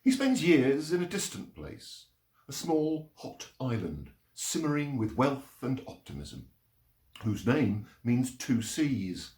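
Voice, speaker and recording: A man reading poetry and short stories aloud in a measured, expressive storytelling delivery. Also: medium pitch. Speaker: reader of poems and short stories. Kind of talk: literary reading aloud, performed narration. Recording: roomy, echoing sound.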